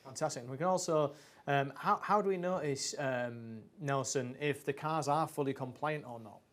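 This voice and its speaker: A man's voice: mid-range voice, moderate pace, fairly bright tone. A man presenting a live school car racing show, upbeat, handing over between the commentators, with a few fillers. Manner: upbeat, links segments, some fillers.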